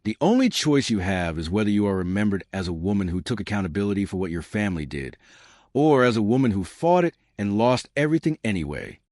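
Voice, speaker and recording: A man voicing a calm mafia boss who speaks quietly and never raises his voice. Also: fairly low pitch, quick pace, dry recording, studio quality. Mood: cold.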